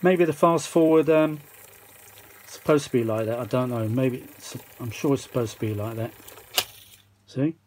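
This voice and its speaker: A British man talks off the cuff in a middling pitch, half muttering to himself. His manner is rambling and self-mocking, like a hobbyist narrating a repair. Light machine noise sits behind the voice in an indoor workshop. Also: normal speed, pauses often, a little mellow. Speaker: vintage audio repair hobbyist and video maker. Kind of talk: casual off-the-cuff repair commentary.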